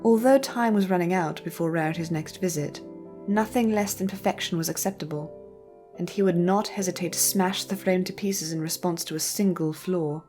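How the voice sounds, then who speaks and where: A woman narrating a scripted story in a measured, literary storytelling manner. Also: on the low side, warm tone, soft background music.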